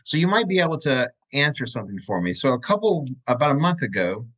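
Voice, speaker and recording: A man of average pitch hosts a relaxed chat podcast and tells rambling, joking stories from a room at home. He talks over a video call. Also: quick pace. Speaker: podcast host. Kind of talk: casual chat.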